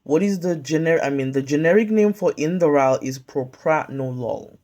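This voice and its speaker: A woman tutoring pharmacy students, calmly drilling drug names in a slow question-and-pause quiz, recorded on a phone at home. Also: low-pitched. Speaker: pharmacy tech study tutor. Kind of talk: slow flashcard-style quiz review. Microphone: phone camera filming whiteboard.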